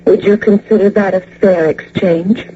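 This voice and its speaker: An actress playing a polite, downcast young widow in a radio drama, speaking earnestly and hesitantly. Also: female voice, mid-range voice, fairly even intonation, unhurried, mellow, slightly rough. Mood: bitter, subdued. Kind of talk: old-time radio drama acting. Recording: dull, noisy radio drama recording with hum.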